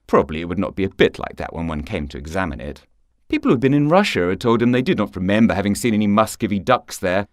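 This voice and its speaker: Animated male storyteller, dry close sound. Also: on the low side, no room sound. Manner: theatrical, expressive storytelling. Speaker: narrator of a short story. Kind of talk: scripted literary narration for radio.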